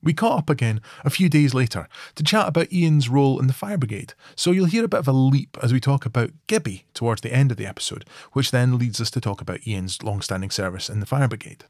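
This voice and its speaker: A man's voice: mid-range voice, animated, fast talker, few pauses, a little mellow, no room sound, studio quality. A man, hosting a podcast, introducing the episode and interviewing in a friendly, conversational way. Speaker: podcast host.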